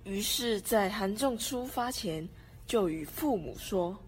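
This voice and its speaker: A woman's voice: medium pitch, expressive, takes it slowly, slight background noise. A teenage girl narrating a scripted story for a student radio drama. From Taiwan. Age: teens.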